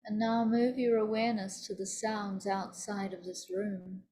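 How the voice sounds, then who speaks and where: A woman teaching yoga nidra, leading a guided meditation in a soothing, deliberate, instructive manner. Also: medium pitch, fairly even intonation, mellow.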